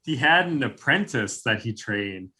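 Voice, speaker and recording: A man's voice: medium pitch, expressive, unhurried, pushed and bright. A man chatting casually as a guest on a podcast. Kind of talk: casual chat.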